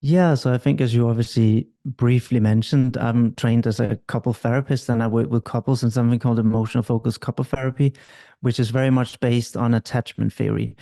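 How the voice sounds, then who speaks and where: A middle-aged man from Denmark, a couples therapist explaining at length in an interview, calm and measured. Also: medium pitch, gravelly.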